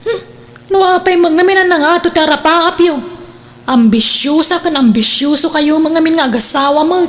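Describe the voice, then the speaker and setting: A woman's voice: high voice, normal speed, natural voice. A woman playing a scolding, pessimistic older sister in a radio drama, with a disapproving manner.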